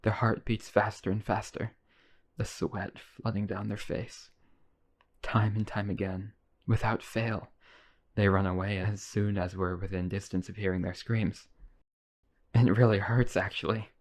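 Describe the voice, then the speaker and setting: A man's voice: fairly low pitch, level tone, a little slow. A man playing a lonely, obsessive vampire brother in a boyfriend roleplay audio drama, earnest and coaxing. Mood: wounded, sorrowful.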